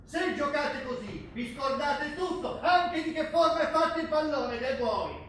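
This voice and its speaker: A man's voice: very high voice, lively intonation, a little slow, a bit bright, full character voice. An actor playing a coarse, hard-driving rugby coach who harangues his team in a theatrical, foul-mouthed way. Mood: scornful anger. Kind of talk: theatrical stage acting. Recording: distant, very echoey, faintly noisy stage recording.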